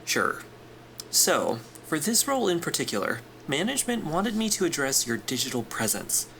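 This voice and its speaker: Easygoing interviewer, lively intonation. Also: male voice, on the high side, gravelly, a little hiss or hum, full character voice. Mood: tactful, businesslike. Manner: informal, reassuring, tactful, measured. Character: smooth, easygoing corporate interviewer at aerospace company. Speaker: actor in audio drama. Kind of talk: naturalistic sci-fi audio drama acting.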